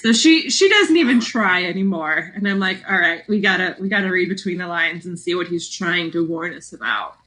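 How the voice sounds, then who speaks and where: A woman's voice: fairly low pitch, expressive, brisk, hardly any pauses, bright, forceful tone, poor audio. A woman co-hosting a podcast in a casual book discussion, acting out quotes as she retells them. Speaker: podcast co-host.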